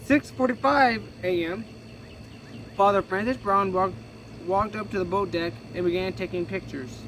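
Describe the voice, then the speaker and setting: A man's voice: sits high, fairly even intonation, normal speed, a bit bright, slight background noise, rough recording. A man reading a history book aloud, stumbling and correcting himself casually as he goes. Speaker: reader.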